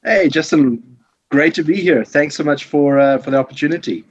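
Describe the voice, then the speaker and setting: A South African man speaks casually as a podcast guest in a medium-pitched, slightly rough voice. He often doubles back on words and phrases. The sound is dry and a little dull, like an online call. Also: normal speed, no echo. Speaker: podcast guest, safari lodge sales representative. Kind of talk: casual conversational interview. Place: home office in Johannesburg, remote call.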